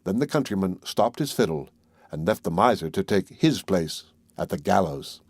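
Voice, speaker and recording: A man's voice: deep voice, expressive, normal speed, darkish tone, breathy edge, dry recording, studio quality. A man reading a fairy tale as a scripted audiobook narration.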